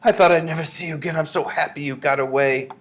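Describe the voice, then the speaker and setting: A man voicing an affectionate, composed old grandmother in a storybook reading, speaking with gentle emphasis. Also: mid-range voice, huge pitch swings, quick pace, slight background noise, voice pushed up. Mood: relieved, loving.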